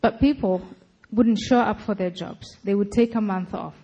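A woman giving a public talk, arguing her case forcefully. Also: medium pitch, moderate pace, slightly rough, dull audio. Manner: forceful, argumentative. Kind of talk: lecture and debate answering.

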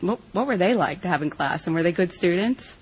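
A woman's voice: lowish voice, lively intonation, fairly quick, husky, poor audio. A woman hosting an interview, asking chatty, friendly questions. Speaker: interviewer, host. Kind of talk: casual interview.

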